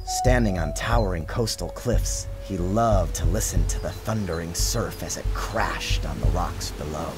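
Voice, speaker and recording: A man's voice: sits low, measured pace, hardly any pauses. A man reading a children's storybook as a dramatic, theatrical narrator. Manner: theatrical, expressive storyteller delivery. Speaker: author narrating his storybook. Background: music and sound design.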